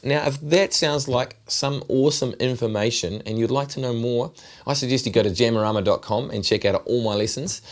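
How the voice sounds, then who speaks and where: A middle-aged man with a New Zealand accent, giving a relaxed, chatty guitar lesson. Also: medium pitch, brisk. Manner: relaxed, chatty, repeats himself while demonstrating. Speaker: guitar teacher. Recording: loud guitar over the voice. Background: acoustic guitar playing.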